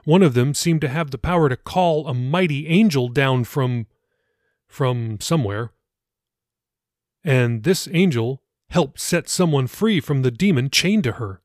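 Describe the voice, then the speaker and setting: A man's voice: mid-range voice, measured pace, darkish tone, no echo, studio quality. A man reading his own fiction audiobook as a scripted narration.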